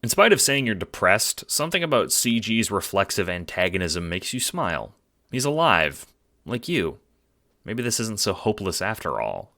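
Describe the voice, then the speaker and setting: A man's voice: lowish voice, very animated, moderate pace, sharp tone. A man narrating a scripted audio drama in the second person, as a storyteller. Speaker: narrator and lead actor.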